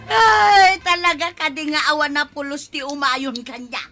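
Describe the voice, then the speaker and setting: A woman's voice: sits high, fairly even intonation, normal speed, over music, natural voice. A woman playing a gossipy village woman in an Ilocano comedy drama, whiny, exclamatory and protesting. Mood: indignant.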